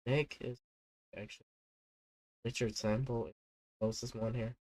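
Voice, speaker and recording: A man hosting an unscripted live weather stream, talking haltingly with many fillers, his voice stuffy from a cold. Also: medium pitch, level tone, no echo. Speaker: livestream weather host.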